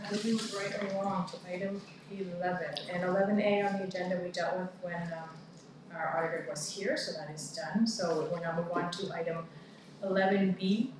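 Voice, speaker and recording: A woman with a Canadian accent chairing a town council meeting, reading motions and calling votes in a brisk, procedural way. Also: fairly low pitch. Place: large council chamber. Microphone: far room mic. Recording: echoey room from far off, background noise.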